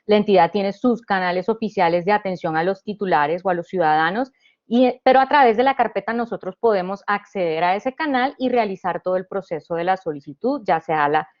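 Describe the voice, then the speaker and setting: A woman from Honduras giving a panel presentation. She speaks at a mid pitch and works through her points one by one, often restarting phrases and filling pauses with "eh". The sound is slightly dull and muffled. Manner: explains step by step, with frequent restarts and "eh". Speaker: panelist presenting a government digital service. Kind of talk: explanatory presentation.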